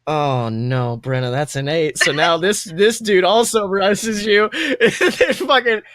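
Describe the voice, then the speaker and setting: A man's voice: high voice, takes it slowly, fairly bright tone, no echo, clean studio sound. A young man casually narrating a tabletop game as game master, playful, exclamatory and sweary. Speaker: game master and podcast host.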